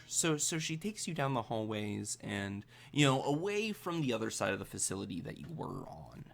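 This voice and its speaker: A man narrating an improvised tabletop game as game master in a casual, halting way. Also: lowish voice, sing-song, vocal fry, faint music behind. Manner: casual, halting, filler-heavy.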